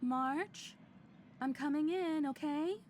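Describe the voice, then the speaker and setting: Composed, kind, unhurried lady. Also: high voice, a little mellow, slight background noise. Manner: polite, composed, caring. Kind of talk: video game voice acting.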